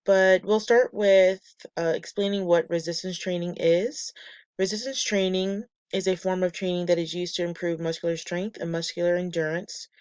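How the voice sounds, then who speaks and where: A woman presenting a class lesson from slides, a bit nasal and raspy from a cold, reading steps and lists in a measured way. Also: on the low side, darkish tone, no echo. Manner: measured, reads steps and muscle lists methodically. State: getting over a cold, slightly nasal and raspy. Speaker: student presenter.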